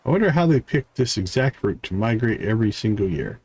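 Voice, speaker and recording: Guy streaming a game, reads the character text out loud, trips over words now and then, some noise behind him. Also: lowish voice, very animated, a little fast. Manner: reads on-screen text aloud with stumbles and asides. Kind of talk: livestream let's play, reading character dialogue aloud.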